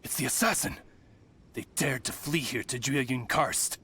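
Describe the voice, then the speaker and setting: A man's voice: medium pitch, a little slow, bright, forceful tone, slight background noise. A man playing a tense, dutiful soldier hunting a fugitive in a video game. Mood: alarmed. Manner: urgent, dutiful.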